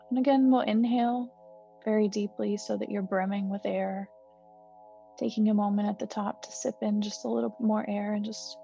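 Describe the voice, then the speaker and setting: A woman meditation teacher guiding a healing breath meditation in a soft, slow, soothing way with gentle pauses. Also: medium pitch, gravelly. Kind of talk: guided meditation. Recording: slightly dull recording with soft music.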